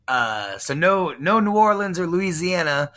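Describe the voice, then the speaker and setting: A man's voice: sits high, big pitch swings, measured pace, pushed and bright. A man from Texas, a pro wrestler guest, talking in a boastful, menacing trash-talk promo style. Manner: boastful, menacing, heel persona.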